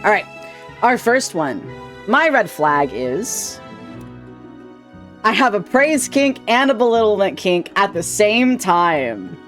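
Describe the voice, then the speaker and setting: Lively giggly young man streamer. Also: sits high. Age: 20s. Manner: animated, giggly, teasing. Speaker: streamer host. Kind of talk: casual stream chat.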